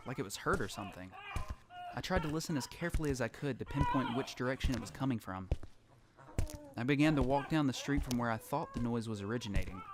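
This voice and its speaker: A man's voice: mid-range voice, close to an everyday voice. An actor playing a troubled lone survivor, narrating his experiences in an introspective first-person audio drama style. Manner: introspective, storytelling. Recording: clearly noisy background ambience.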